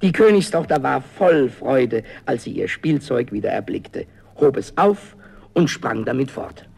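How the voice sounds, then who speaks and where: Casting: male storybook narrator, gravelly and raspy, dramatic delivery. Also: mid-range voice, lively intonation, measured pace, darkish tone. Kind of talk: dramatic fairy-tale narration.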